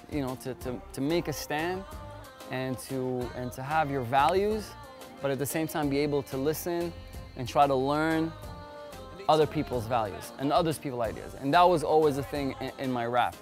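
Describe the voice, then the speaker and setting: A man from Israel and Montreal, a rapper, talking casually in an interview in a rambling way, repeating words and leaning on "like" and "you know". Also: on the high side. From Israel and Montreal, Canada. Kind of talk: casual, conversational interview talk.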